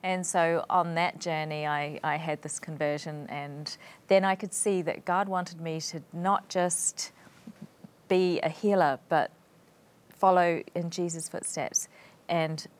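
A woman's voice: low-pitched, fairly even intonation, takes it slowly. A middle-aged woman with a New Zealand accent, talking conversationally as an interview guest. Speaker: interview guest, doctor and evangelist. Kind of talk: conversational personal testimony.

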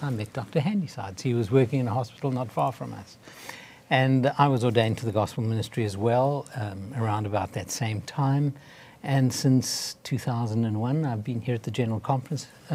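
A man's voice: mid-range voice, mellow, a little hiss or hum. A man from South Africa talks about his medical career in an interview. He speaks plainly and with a dry, joking edge. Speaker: physician and church health associate. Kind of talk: interview answers.

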